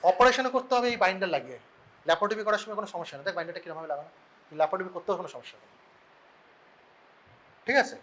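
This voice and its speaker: Fast, high-pitched Bengali Indian male lecturer. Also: animated, pushed and bright, rough recording. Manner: explains through questions and answers, repeatedly checking understanding. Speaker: medical lecturer. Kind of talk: informal classroom lecture mixing Bengali and English.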